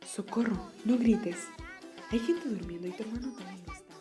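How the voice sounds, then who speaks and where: A woman playing a gentle, fretful mother in a fan-dubbed audiobook, soft and motherly. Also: medium pitch, moderate pace, over music, natural voice. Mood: hushed, gentle.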